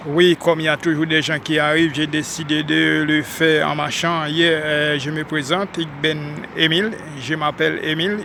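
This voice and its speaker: A man from Haiti with a Haitian French accent, talking casually to viewers while walking outdoors on a city street and addressing them as ladies and gentlemen. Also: a little high, vocal fry, slight background noise. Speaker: vlogger. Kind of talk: casual walking vlog monologue.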